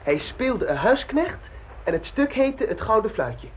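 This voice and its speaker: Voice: mid-range voice, very animated, measured pace, slight background noise, low-quality recording. A performer playing a polite, sheepish, obedient upper-class youngster in an old radio play. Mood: obedient, eager.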